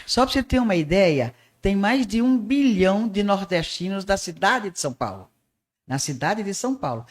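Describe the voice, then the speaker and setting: An older woman from Northeast Brazil with a softened Northeastern accent, telling her life story as an interview guest in an unhurried way. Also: medium pitch, lively intonation. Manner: unhurried storyteller with small restarts. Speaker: guest, art teacher and radio presenter.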